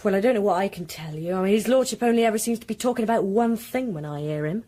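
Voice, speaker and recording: A woman's voice: mid-range voice, animated, fast, dark tone, lightly acted. An actress playing a candid, gossipy young servant girl, chatty yet deferential, in period radio drama. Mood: chatty, wry. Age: young adult.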